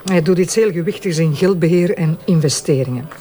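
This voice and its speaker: A man's voice: a little high, fairly quick, warm tone, close to an everyday voice. A man with a Flemish accent acting in a radio drama as a sceptical, scolding police superior, sarcastic and reproachful. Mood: wry.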